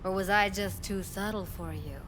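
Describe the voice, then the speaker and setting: A woman's voice: mid-range voice, moderate pace, background noise, close to an everyday voice. An actress playing a prickly, guarded young woman in a video game, blunt and sarcastic. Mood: bitter. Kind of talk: video game drama acting.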